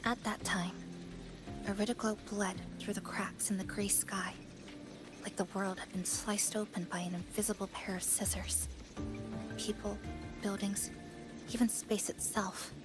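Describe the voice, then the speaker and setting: A woman playing a calm, thoughtful young heroine in a video game, speaking gently and in measured phrases. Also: mid-range voice, close to an everyday voice. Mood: reflective, somber. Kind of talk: game character acting. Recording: background music over the voice.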